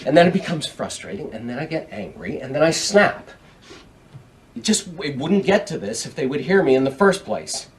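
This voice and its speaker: A man's voice: fairly high pitch, big pitch swings, moderate pace, a little hiss or hum. An actor playing an angry, foul-mouthed, defensive man who argues in exasperation, in a naturalistic drama. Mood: frustrated. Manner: swearing, combative, exasperated. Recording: distant microphone.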